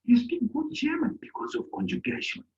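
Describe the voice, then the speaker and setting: Middle-aged male teacher, animated, lo-fi call audio. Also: very high-pitched, fairly quick. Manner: animated, acts out little dialogues in a raised voice. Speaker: German language teacher. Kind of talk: online class lesson. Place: indoor room on a video call. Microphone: laptop mic on a video call.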